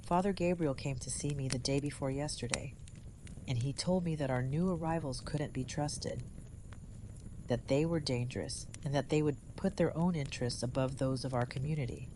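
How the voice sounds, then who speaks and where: A woman's voice: extremely low pitch, noisy background. A woman playing a composed, formal community leader. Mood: solemn, measured. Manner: formal, measured. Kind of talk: audio drama acting.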